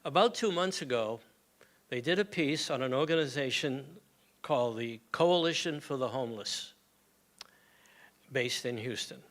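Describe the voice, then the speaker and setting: An older man shares practical health advice with a community gathering in a plain, conversational way. His voice sits at an ordinary middle pitch. He speaks through a microphone in a hall. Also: animated, moderate pace, pauses often, a bit bright. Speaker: community moment presenter. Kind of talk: informal health talk to a gathering. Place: meeting hall with audience.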